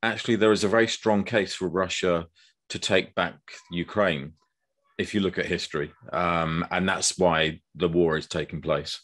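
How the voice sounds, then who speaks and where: Older English guest author, very low voice, measured video-call explainer. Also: male voice, steady tone, fairly bright tone. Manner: measured, explanatory, with frequent ums. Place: dim room indoors.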